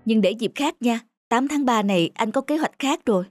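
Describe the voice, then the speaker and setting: A woman voicing a gentle, earnest and devoted young man, a boyfriend in a cartoon. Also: fairly high pitch, animated, normal speed, close to an everyday voice. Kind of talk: cartoon dubbing.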